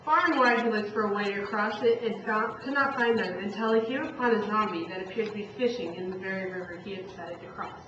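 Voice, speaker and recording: A woman's voice: mid-range voice, fast talker, few pauses. A woman reading a story aloud as narrator of a puppet show, stumbling over and rereading some lines. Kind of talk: live storytelling read from a script. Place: echoey room, recorded from far off. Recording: distant echoey room, muffled, noisy.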